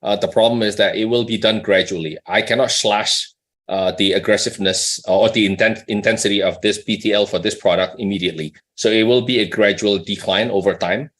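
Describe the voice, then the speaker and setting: A man's voice: fairly low pitch, steady tone, fairly quick, a bit bright. Conversational male company executive explaining things. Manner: conversational, checks in with "right?" and rhetorical questions. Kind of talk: explanatory business talk on an earnings call.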